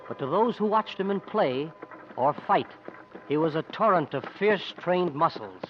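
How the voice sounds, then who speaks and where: High-voiced young acrobat storyteller. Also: male voice, animated, unhurried, slight background noise. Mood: reflective. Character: sixteen-year-old acrobat boy, looking back as narrator. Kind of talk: 1950s radio drama narration and acting. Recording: dull old radio recording.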